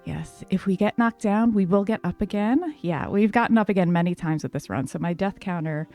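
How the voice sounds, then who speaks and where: A woman streamer chats to her viewers in a soft, calm voice, full of "um"s and loose asides. She speaks into a desk mic. Faint music plays underneath. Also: mid-range voice, fairly quick. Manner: soft, calm, many fillers. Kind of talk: casual livestream chat.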